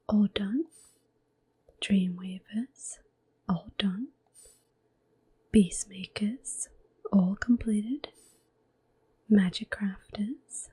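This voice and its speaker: A woman doing ASMR gameplay commentary in a soft, breathy, gentle voice, mostly whispered. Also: mid-range voice, poor audio. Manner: gentle, unhurried. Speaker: game streamer.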